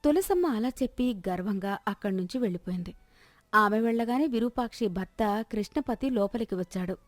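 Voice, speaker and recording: A woman's voice: medium pitch, expressive, fairly quick, no room sound. A woman narrating a scripted moral story as the storyteller.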